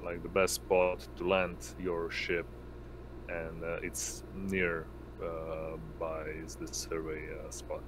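Very deep, flat-voiced man over clear noise. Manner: halting, hesitant, improvising. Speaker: game master. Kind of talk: running an online tabletop roleplaying game. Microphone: online voice call. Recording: noisy line with constant hum.

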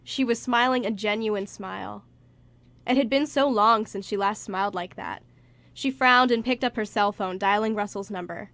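A woman's voice: medium pitch, normal speed, fairly bright tone, no echo. A woman reading scripted story narration for a radio play.

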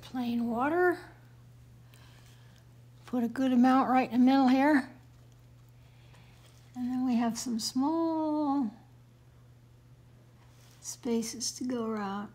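An older woman with a raspy voice, calmly talking through a watercolor demonstration, sometimes dropping to a near whisper. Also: fairly high pitch, takes it slowly, long pauses. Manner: soft-spoken, careful, drifting into near-whisper while working. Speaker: watercolor painting teacher. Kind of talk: calm painting demonstration.

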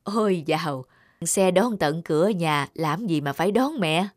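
A woman playing a gentle, easygoing elderly grandmother in a cartoon. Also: lowish voice, animated, measured pace, no echo, studio quality. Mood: easygoing, soothing. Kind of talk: cartoon dialogue.

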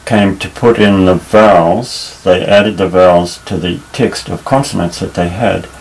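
Slow, low-voiced man teaching Hebrew. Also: fairly even intonation, vocal fry, rough recording. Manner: patient, hesitant, many fillers and restarts. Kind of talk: unscripted tabletop lesson, explaining while pointing. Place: indoors at a table.

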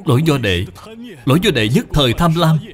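A man's voice: fairly high pitch, expressive, a little fast, pitched above the performer's own voice, natural voice. A man voicing a troubled, remorseful young man in a cartoon, anxious, questioning and pleading. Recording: music behind the voice.

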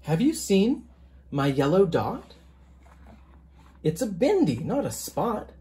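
A teacher reading a children's picture book aloud in a gentle, deliberate, expressive sing-song way. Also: medium pitch.

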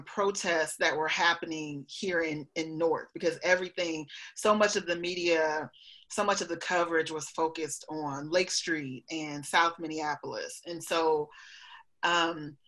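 Female podcast guest, slightly low voice, thoughtful conversational answers, checks in as she goes. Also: a bit bright. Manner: reflective, often checks with "right?". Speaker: guest, professor and author.

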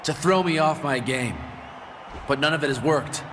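A man playing a cocky, defiant young wrestler in a video game, with an emphatic, deliberate delivery. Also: on the high side, background noise, lightly acted. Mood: confident defiance. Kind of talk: video game cutscene promo acting.

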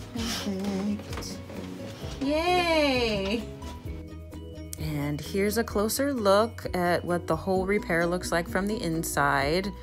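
A young woman narrating her renovation vlog in a casual, bubbly and excited way. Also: mid-range voice, slow pace, music in the background. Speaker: vlogger.

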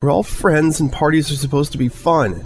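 A man's voice: on the high side, normal speed, breathy edge, voice pushed up. A man voicing a bubbly, sensitive young female party pony with a childlike, emotional manner. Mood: hurt, tearful.

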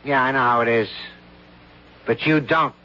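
An actor playing a blunt, gruff theatrical agent in an old radio drama. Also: male voice, medium pitch, steady tone, moderate pace, sharp tone, close to an everyday voice. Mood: dismissive. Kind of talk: 1970s radio drama acting. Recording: dull lo-fi old radio broadcast.

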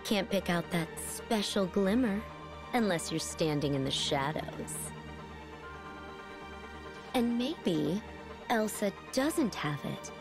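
A woman's voice: medium pitch, lively intonation, takes it slowly, pauses often, music in the background. A woman voicing a smug, taunting young villainess in a video game, with a sarcastic, condescending drawl. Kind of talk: video game cutscene acting.

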